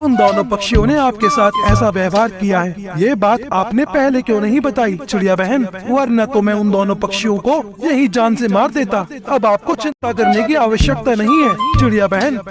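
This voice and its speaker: A woman plays a male talking creature in a children's cartoon. The delivery is earnest and emphatic at a middling pitch, over prominent music. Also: moderate pace, few pauses, close to an everyday voice. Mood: protective, sympathetic. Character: male birds and mango tree, earnest, forceful characters. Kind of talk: Hindi children's cartoon dubbing.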